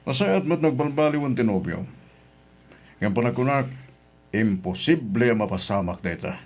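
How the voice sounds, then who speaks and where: Middle-aged father, medium pitch, protective, cautious, admonishing, radio drama style. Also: lively intonation, normal speed, a little mellow. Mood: cautioning. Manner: admonishing, paternal. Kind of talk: Ilocano radio drama acting.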